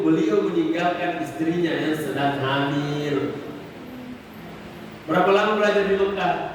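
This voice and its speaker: A man giving a conversational Islamic sermon, explaining patiently and repeating phrases for emphasis. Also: fairly high pitch. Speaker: Islamic preacher answering questions. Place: mosque hall. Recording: echoey mosque hall, distant mic, noisy.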